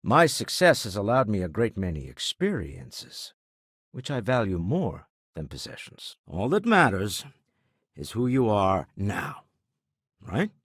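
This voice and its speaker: A wealthy older businessman for a video game. His voice is low and husky, moving expressively as he speaks in measured, refined phrases. He comes across as urbane, wry and composed. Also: huge pitch swings, fairly bright tone, no echo, studio quality, exaggerated voice. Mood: composed, reflective. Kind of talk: scripted video game character dialogue.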